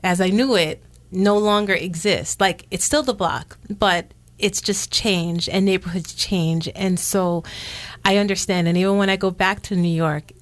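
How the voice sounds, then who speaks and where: A woman's voice: medium pitch, a little slow, dry recording. A woman radio host from Jamaica, raised in the Bronx, talks in a chatty, affirming way. She has a mid-range voice, and it is recorded dry and close in a studio. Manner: warm, affirming, lively filler-rich talk. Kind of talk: casual radio interview.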